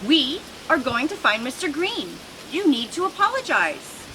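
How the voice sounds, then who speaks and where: A woman's voice: high voice, very animated, unhurried, pitched above the performer's own voice. Woman squawking as a pushy little pigeon. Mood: insistent. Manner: squawking, insistent. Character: excitable, bossy little male pigeon. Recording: noisy outdoor recording with traffic.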